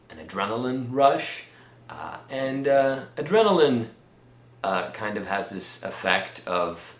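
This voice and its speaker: A man with a Swedish accent telling a personal story in a casual, rambling vlog style, halting and pausing often as he goes. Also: low-pitched, sharp tone, reverberant, slight background noise. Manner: halting, conversational delivery with many pauses. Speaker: vlogger.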